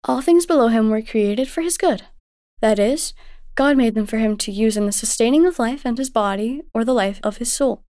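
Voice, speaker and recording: Storybook mother, played by a woman: gentle and devout, measured teaching manner, raised pitch, dry recording. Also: no echo, close to an everyday voice. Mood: patient, instructive. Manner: tender, measured, instructive.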